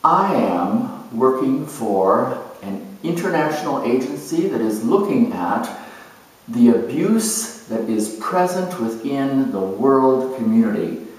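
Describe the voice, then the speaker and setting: A man performing a first-person character monologue in a deliberate, measured, theatrical way with emphatic phrasing. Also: mid-range voice, big pitch swings, darkish tone. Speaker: astrologer dramatizing zodiac character types. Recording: echoey room, distant microphone.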